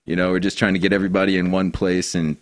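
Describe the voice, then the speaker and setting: Young male guest, low-pitched, relaxed, loose delivery, dry sound. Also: fast talker, no room sound. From Seattle area. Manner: relaxed, laughs often, lots of fillers. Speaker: guest, company representative. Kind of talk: casual chat.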